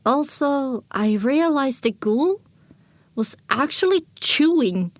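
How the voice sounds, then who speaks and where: A woman's voice: high voice, expressive, takes it slowly, dry recording. A woman reading scripted first-person story narration for an audio drama.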